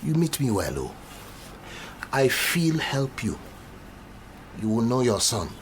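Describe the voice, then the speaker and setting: An actor playing a wily, menacing Nigerian fixer in a radio drama, speaking theatrically with a Nigerian accent and pidgin turns of phrase. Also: male voice, medium pitch, very animated, takes it slowly, a little hiss or hum. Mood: sly. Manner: pidgin English, theatrical, sly and taunting. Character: wily, menacing Nigerian male fixer who acts as the law.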